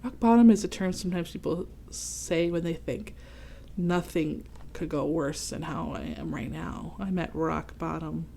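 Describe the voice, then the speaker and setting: A woman reading a picture book aloud to children, expressive and often turning to speak directly to the young listeners with questions and explanations. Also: sits low, measured pace, creaky, a little hiss or hum. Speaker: read-aloud host for children.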